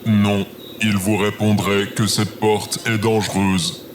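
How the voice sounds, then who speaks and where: A man playing a literal-minded robot with a measured, mechanical delivery in an audio drama. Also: lowish voice, flat delivery, very noisy. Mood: impassive. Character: robot assistant and guard, flat and literal.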